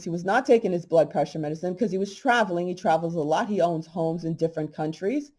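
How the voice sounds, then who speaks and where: A middle-aged woman hosting a holistic health talk, speaking bluntly and emphatically, with a slightly hoarse voice. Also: fairly low pitch, warm tone. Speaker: holistic health channel host. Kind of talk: casual monologue to camera.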